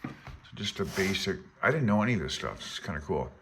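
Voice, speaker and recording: Deep-voiced older man with a bright edge. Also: sing-song, fairly quick, slight background noise. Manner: hesitant, self-deprecating, unsure of himself. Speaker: amateur radio hobbyist presenter. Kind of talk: informal tutorial, reading aloud from a handbook with asides.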